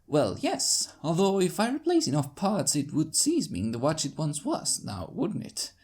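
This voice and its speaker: Woman playing a low-voiced, precise pony clockmaker. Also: wildly swooping pitch, a little slow, darkish tone, husky, heavily acted. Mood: explaining. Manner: polite, explanatory, slightly pedantic. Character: mild, precise pony clockmaker, thoughtful and awkward.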